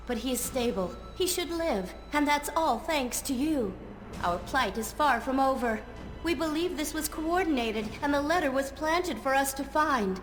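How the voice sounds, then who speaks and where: A woman's voice: on the high side, natural voice. A woman playing a young empress in a video game, formal and earnest, gracious yet commanding, in a troubled tone. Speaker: game character. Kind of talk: dramatic video game voice acting. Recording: roomy game audio under loud music.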